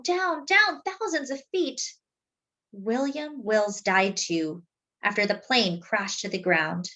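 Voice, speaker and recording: A woman reading a picture book aloud as a storyteller, with deliberate, dramatic phrasing and words drawn out for emphasis. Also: on the high side, fairly bright tone. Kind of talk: expressive children's read-aloud narration.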